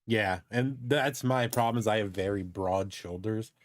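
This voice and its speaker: A man's voice: fairly low pitch, moderate pace, dry recording. A man co-hosting a livestream, chatting casually with his partner and the viewers. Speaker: livestream co-host. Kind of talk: casual chat.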